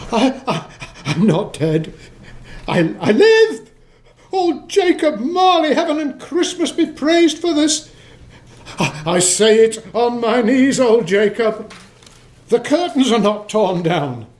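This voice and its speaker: An actor playing an excitable old miser in a radio play, stammering and exclaiming theatrically. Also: male voice, very high-pitched, slow pace, exaggerated voice. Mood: giddy relief. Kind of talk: stage-like radio play acting.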